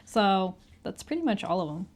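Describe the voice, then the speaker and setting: Casual mid-pitched woman explaining gadgets. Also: sing-song, moderate pace. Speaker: co-host of a gadget review. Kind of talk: casual product review and demonstration.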